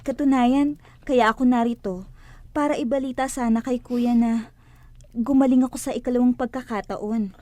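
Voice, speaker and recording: A woman plays a devout sister-in-law in a religious radio drama. Her voice is fairly high and warm, recorded very dry and close. She speaks with earnest conviction, persuading and reassuring. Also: steady tone, normal speed, no room sound, natural voice. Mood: earnest, comforting.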